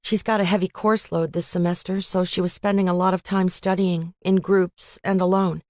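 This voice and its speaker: An anxious young college girl, pleading and raised in pitch over her normally low voice, as a woman narrator puts her on. Close, dry audiobook sound. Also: level tone, moderate pace, darkish tone, no echo, lightly acted. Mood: worried. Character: worried young female college roommate.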